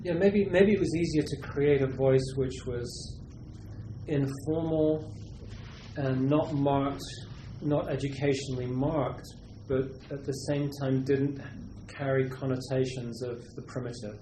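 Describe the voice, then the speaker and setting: A man from Britain, a literary translator, answering questions at a university seminar in a thoughtful, halting way with many restarts. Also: mid-range voice, fairly even intonation, roomy sound, background noise.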